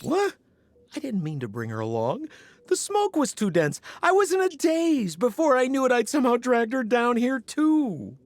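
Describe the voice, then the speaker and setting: A man's voice: extremely high pitch, huge pitch swings, moderate pace, dry recording, exaggerated voice. A man voicing a glib, theatrical young rogue in a video game, wheedling and exaggerated. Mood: defensive wheedling. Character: glib, theatrical young male rogue, slippery and eager to please.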